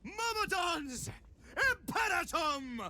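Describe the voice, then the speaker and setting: An actor playing a haughty, scheming wizard villain in a video game, threatening and commanding in a theatrical way. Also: male voice, extremely high pitch, expressive, slow, sharp tone, shouting, exaggerated voice. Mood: furious shout.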